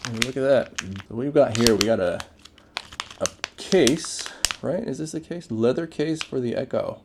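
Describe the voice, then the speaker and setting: A man's voice: mid-range voice, moderate pace, noisy background. A man giving casual, off-the-cuff unboxing commentary as a tech reviewer, laid-back and informal with plenty of slang.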